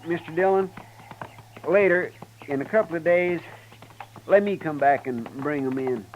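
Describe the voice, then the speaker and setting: An actor playing an earnest, polite young frontier helper in a 1950s radio drama. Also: male voice, a little high, moderate pace, narrow, tinny audio, a little hiss or hum, lightly acted. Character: loyal young male deputy helper, earnest.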